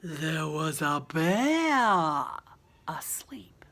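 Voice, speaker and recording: A woman reading a picture book aloud to young children in an expressive, dramatic way, with casual chatty asides and some hushed delivery. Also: sits low, extremely slow. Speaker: children's story reader.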